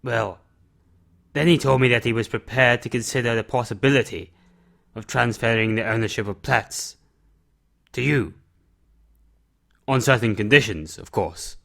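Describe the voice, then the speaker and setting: A man playing a principled, protective recluse in an audio drama, with a measured, formal way of talking. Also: medium pitch, creaky, a bit bright. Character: principled, protective male recluse who shelters a runaway.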